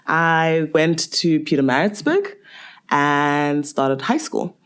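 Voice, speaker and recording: A woman's voice: sits low, animated, slow, clean tone. A woman in her twenties, raised in Botswana, speaking as a podcast guest in a reflective, candid way with casual fillers. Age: 20s.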